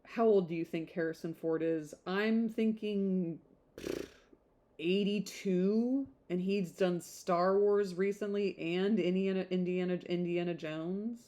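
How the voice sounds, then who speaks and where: A woman with a slightly low-pitched voice reads aloud as a podcast host. Her delivery is informal audiobook style, mixed with chatty, rambling asides addressed to the listener. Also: fairly even intonation, takes it slowly, dark tone. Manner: conversational, rambling off on tangents in asides. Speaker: podcast host reading a classic novel aloud.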